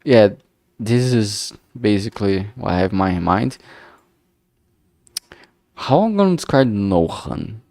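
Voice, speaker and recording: Man, Brazilian Portuguese accent, hesitant think-aloud streamer, slow and lowish, dry close mic. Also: animated, gravelly, warm tone, no echo. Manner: rambling, hesitant, many fillers and restarts. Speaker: game developer streamer.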